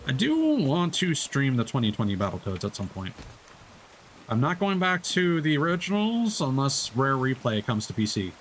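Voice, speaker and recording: A man's voice: mid-range voice, huge pitch swings, normal speed, slight background noise. A man doing casual live gameplay commentary, chatty and thinking aloud. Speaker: game streamer.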